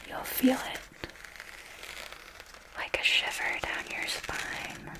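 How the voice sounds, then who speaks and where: A woman acts out an elegant vampire mentor for an ASMR roleplay. She whispers intimately at a very slow, deliberate pace, close to the mic. Her phrasing is formal, with a possessive fondness and calm command. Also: lightly acted, poor audio. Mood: calm, instructive. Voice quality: breathy whisper. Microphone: close microphone.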